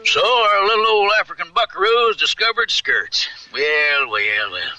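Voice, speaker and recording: Bright, sharp-voiced teasing cowboy pal. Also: male voice, high-pitched, lively intonation, no room sound, muffled recording, faint music behind, heavily acted. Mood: teasing, amused. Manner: folksy, wry, drawn-out teasing. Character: teasing, folksy Old West cowboy pal. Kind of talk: comic radio western acting.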